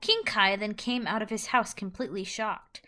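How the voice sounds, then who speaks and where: A woman's voice: mid-range voice, normal speed, sharp tone. A woman narrating a fan fiction audio play in a storytelling style.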